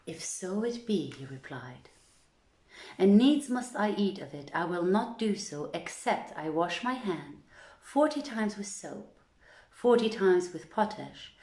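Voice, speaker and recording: A woman reading an old literary tale aloud in a deliberate, theatrical storytelling manner with measured phrasing. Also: lowish voice, a little mellow. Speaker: storyteller, reader. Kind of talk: dramatic scripted storytelling, archaic literary narration. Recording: roomy, echoing voice recording.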